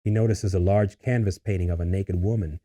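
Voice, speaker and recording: Low, mellow male screenplay narrator. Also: steady tone, fairly quick. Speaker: narrator reading stage directions.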